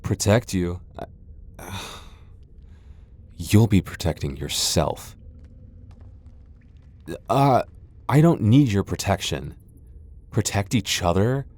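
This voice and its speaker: A man's voice: on the low side, expressive, slow, pauses often, darkish tone. A male voice actor playing a proud tsundere vampire lord in an ASMR boyfriend roleplay, haughty and defensive, stammering when flustered. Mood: wary, resigned.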